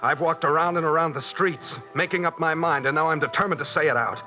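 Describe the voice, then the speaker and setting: High, tense, haunted radio husband. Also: male voice, quick pace, sharp tone, faint music behind. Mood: tense, accusing. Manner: tense, brooding, pleading. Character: morose, fearful, guilt-haunted husband and killer. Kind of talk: old-time radio mystery acting. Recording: dull vintage radio recording.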